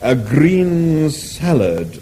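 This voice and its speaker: A man voicing a courteous male cow-like creature with a low, mellow lowing voice and an unctuous, polite waiter manner. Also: lively intonation, moderate pace, a little hiss or hum, natural voice, low-quality recording.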